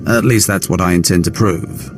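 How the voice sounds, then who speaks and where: Man playing a low, cruel Sith lord. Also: moderate pace, background noise, natural voice. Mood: gloating cruelty. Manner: smug, menacing, formal. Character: cold, sadistic Sith lord relishing cruelty. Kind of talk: video game cutscene dialogue.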